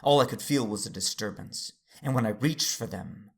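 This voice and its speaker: An actor playing a steady, weary Jedi father who narrates his own story in an earnest, measured audio drama style. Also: lowish voice, gravelly. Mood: strained, restrained. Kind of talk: first-person dramatic narration and acting.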